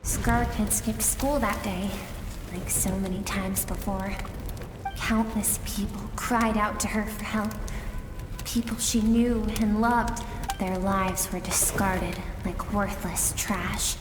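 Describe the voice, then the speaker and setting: An actress playing a mysterious, gentle female storyteller in a video game, narrating slowly and softly. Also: medium pitch, steady tone, natural voice. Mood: wistful, solemn. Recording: echoey, long reverb, music behind. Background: game music.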